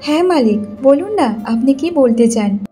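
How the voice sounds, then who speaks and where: A woman's voice: high-pitched, expressive, normal speed, warm tone, faint music behind. A woman playing a wronged young housemaid wife in a cartoon story, emotional and pleading, tearful yet defiant. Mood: hesitant, humble. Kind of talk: Bengali cartoon story acting.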